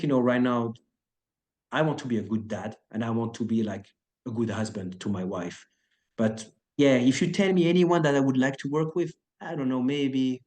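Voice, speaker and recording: Chill guy in his 30s rambling thru an interview, lots of uh and like, choreographer type. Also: medium pitch. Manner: relaxed, rambling, many fillers and restarts. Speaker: action choreographer and actor. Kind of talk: casual interview chat.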